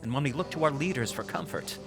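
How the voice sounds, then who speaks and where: Somber narrator over music. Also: male voice, fairly high pitch, animated, vocal fry. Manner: dramatic, deliberate. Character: somber male documentary narrator recalling catastrophe. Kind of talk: scripted dramatic narration.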